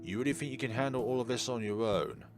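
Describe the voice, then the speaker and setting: A man's voice: mid-range voice, fast, natural voice. A man voicing an earnest, encouraging reporter in an emphatic, theatrical read-aloud of a visual novel. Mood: indignant, scolding. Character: earnest young male reporter, self-reflective, encouraging his colleague. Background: game music.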